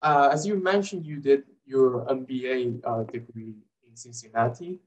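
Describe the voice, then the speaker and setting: A man's voice: medium pitch, moderate pace, distant mic, lo-fi sound. A young man hosting an interview over a video call, polite and a little hesitant when he asks his questions. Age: young adult. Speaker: host. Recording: echoey room, off-mic.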